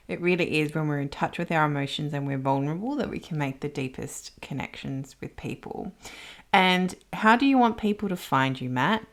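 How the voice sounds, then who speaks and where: A woman hosting an interview podcast, speaking conversationally in an affirming, appreciative manner. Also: low voice. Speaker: podcast host.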